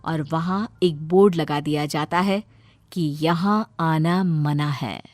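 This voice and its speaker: Low-voiced woman narrating a story, dry sound. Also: takes it slowly, no echo. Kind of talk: scripted horror story narration.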